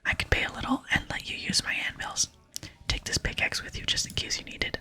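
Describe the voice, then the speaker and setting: An ASMR gamer voicing a humble, hard-working male smith from a game in a polite, breathy whisper. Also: a little fast, bright, forceful tone. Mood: earnest. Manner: polite, plain-spoken. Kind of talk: whispered game dialogue reading.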